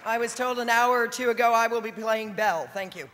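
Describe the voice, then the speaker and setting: A woman's voice: fairly high pitch, brisk. A woman in her thirties at a podium mic on a large theater stage, introducing the cast and calling out names with emphasis. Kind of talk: live event announcing. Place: large theater stage before a big audience. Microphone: podium mic on stand. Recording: echoey hall, audience noise. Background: crowd cheering.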